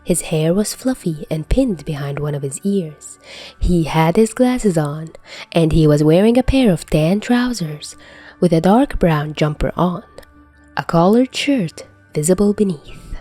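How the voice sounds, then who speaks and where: A woman reading fan fiction aloud as an expressive audiobook-style narrator. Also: fairly low pitch, a little slow, faint music behind. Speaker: podfic narrator.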